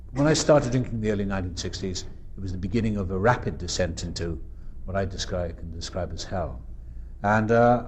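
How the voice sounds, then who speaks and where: A Welsh man in his fifties gives an earnest, reflective recovery talk at a meeting, with pauses and restarts. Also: on the low side, a little mellow, a little hiss or hum. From South Wales. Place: meeting room.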